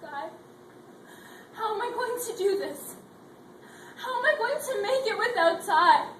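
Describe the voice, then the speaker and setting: A young woman acting as a frantic young wife and mother, high-voiced and pleading, her fear for her missing husband always near. It is caught from far off in an echoey hall, with heavy noise around it. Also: expressive, unhurried. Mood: distraught. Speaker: readers theatre performer. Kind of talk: student readers theatre acting. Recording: echoey hall, distant mic, loud noise.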